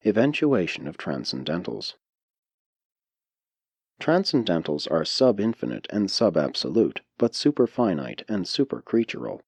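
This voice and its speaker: A man narrating a spiritual audiobook from a script in a measured, formal way with deliberate enunciation. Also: fairly low pitch, a little mellow, no room sound. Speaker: audiobook reader.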